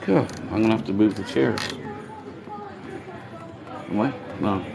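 A man chatting casually as he vlogs his day as a bar worker, rambling and greeting people as he goes, his voice going hoarse. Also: fairly low pitch, unhurried. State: voice getting hoarse. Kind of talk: casual walk-and-talk vlog. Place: outdoors on streets by a seafront. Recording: street noise behind the voice.

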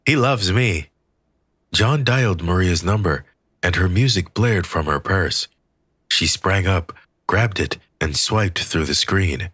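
A man narrating a scripted work of fiction as an audiobook reader, with a husky voice. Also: low-pitched, unhurried, fairly bright tone, no echo.

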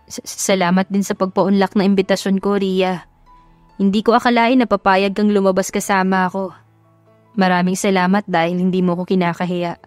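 A woman's voice: medium pitch, little pitch movement, normal speed, dry recording, soft background music, natural voice. A woman voicing a humble, kind young working man in an audio love story, earnest and apologetic. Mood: shyly grateful.

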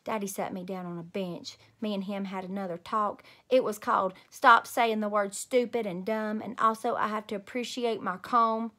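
A woman's voice: medium pitch, steady tone, normal speed, few pauses, pushed and bright. A woman in her thirties reading a storybook aloud expressively as a host for young children. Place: small home room indoors.

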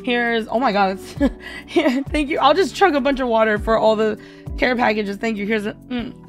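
A woman streamer with a hoarse voice, singing a ballad and then chatting with viewers in a bubbly, giggly way. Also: fairly high pitch, moderate pace. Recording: faint piano backing music. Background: soft piano backing during the song.

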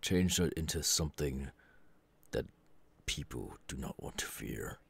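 Man acting out a very deep, gravelly weary father. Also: lively intonation, full character voice. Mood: weary, earnest. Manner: slow, deliberate, halting delivery with sighs. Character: stern, weary middle-aged superhero father, brooding but caring. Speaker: voice actor. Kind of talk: ASMR audio roleplay.

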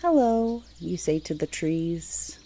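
Slow, low-pitched woman guiding meditation. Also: mellow, husky. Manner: slow, soothing, deliberate short phrases with long pauses. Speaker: meditation guide and host. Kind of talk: guided meditation. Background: music.

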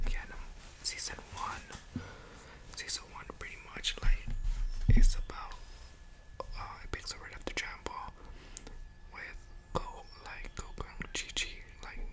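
A young man whispering casual ASMR into a close condenser mic in a bedroom, rambling informally and correcting himself as he goes. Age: young adult. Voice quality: unvoiced breathy whisper. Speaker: ASMR video creator. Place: bedroom at home. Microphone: large USB condenser mic, close up.

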